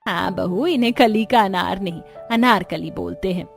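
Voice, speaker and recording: A woman's voice: mid-range voice, moderate pace, creaky, faint music behind, lightly acted. A woman voicing a kind, reassuring mother-in-law of a large household in a cartoon. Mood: gently firm. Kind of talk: Hindi cartoon voice acting.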